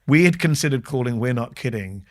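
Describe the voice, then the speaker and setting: A man, hosting a podcast interview in a loud, quick, teasing way. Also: mid-range voice, animated, dry recording, clean studio sound. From England. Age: 40s. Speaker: podcast host. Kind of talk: casual interview chat. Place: studio in London.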